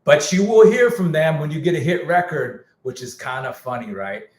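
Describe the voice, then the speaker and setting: A man's voice: a little high, sing-song, normal speed, roomy sound. A man who produces music, talking casually on a live stream, slangy and candid, saying he is losing his voice. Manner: candid, slangy, repeats himself. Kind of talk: casual livestream rant.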